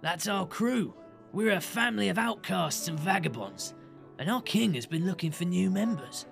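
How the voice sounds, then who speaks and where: A roguish outcast with a kind heart, played by a man, speaks in a slightly high, gravelly voice with lively swoops. He is jaunty and encouraging, acted as audio drama. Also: normal speed, over music, exaggerated voice. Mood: proud, inviting. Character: kindly roguish leader of a vagabond outcast crew.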